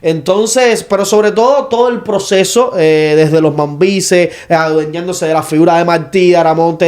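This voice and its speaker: High-voiced Cuban man debating. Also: darkish tone. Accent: Cuban Spanish. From Cuba. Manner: long explanatory turns, frequent "eh" fillers and restarts. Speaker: podcast co-host. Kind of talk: casual panel debate.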